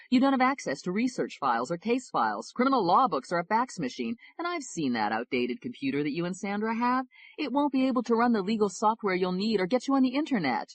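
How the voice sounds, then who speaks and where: A woman's voice: mid-range voice, no echo, voice pushed up. A woman voicing a brash, generous male defense lawyer who talks emphatically and blusters.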